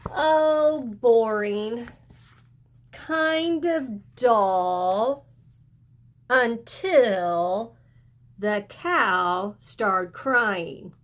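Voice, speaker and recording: Lady reading as a kid from a storybook, slow n drawn out, casually telling about a wild farm trip. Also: on the high side, lively intonation. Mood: matter-of-fact. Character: schoolchild casually recounting a chaotic farm field trip. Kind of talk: picture book read-aloud.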